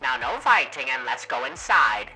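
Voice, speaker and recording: A man playing a suave, sinister radio demon in an animated comic dub, theatrical, drawling and mockingly polite, through an old radio filter. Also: mid-range voice, moderate pace, over music, low-quality recording. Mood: smug politeness.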